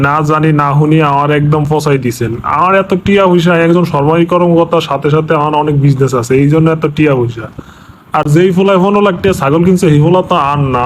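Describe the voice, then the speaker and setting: A man's voice: a little high, a little hiss or hum, close to an everyday voice. An actor voicing a panicky, self-pitying corrupt official and father in a comic cartoon, speaking in a regional Bangladeshi dialect.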